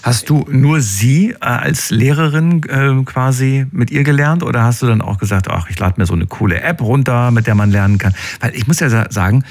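A man hosting a casual interview, asking long questions slowly with fillers. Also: medium pitch. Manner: slow, searching questions with fillers. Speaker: host.

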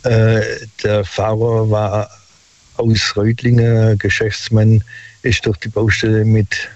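Slow, halting older man on the phone. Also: fairly low pitch, level tone, darkish tone, dry recording. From Stuttgart area. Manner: halting, many filler sounds. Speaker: caller. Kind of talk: phone-in storytelling. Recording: dull lo-fi phone line.

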